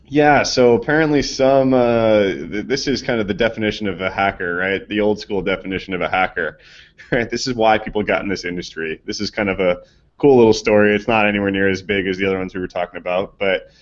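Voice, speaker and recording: A man's voice: on the low side, a little fast, lo-fi sound. A man co-hosting a casual tech chat, telling a story in an easygoing way. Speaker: co-host.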